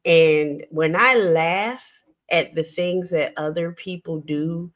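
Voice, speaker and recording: An older woman hosting a casual late-night livestream, talking in a slow, reflective, rambling way with many pauses. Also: sits low. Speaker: livestream host.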